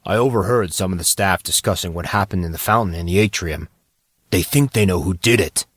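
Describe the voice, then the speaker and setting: Man doing a conspiratorial, low-pitched teenage schoolboy. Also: fairly quick, no echo, clean studio sound. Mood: excited. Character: eager gossiping teenage schoolboy with a big secret. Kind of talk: audio drama dialogue.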